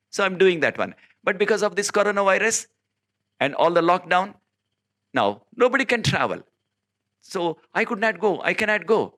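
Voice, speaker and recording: A preacher from South India whose first language is Telugu delivers a sermon. His voice is bright and forceful, set in an ordinary middle register. He speaks earnestly, with frequent fillers and sudden, emphatic rises in pitch. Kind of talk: informal recorded sermon.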